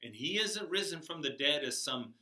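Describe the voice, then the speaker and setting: An older man giving a chapel sermon to children in a classroom, speaking slowly and emphatically, his voice rising on key words. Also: on the high side, animated, sharp tone. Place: classroom with a whiteboard, indoors. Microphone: camera across the room. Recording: echoey classroom from far off.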